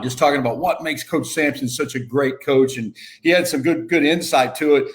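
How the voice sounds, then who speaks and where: A man casually interviewing as a sports show host, informal and upbeat. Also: medium pitch.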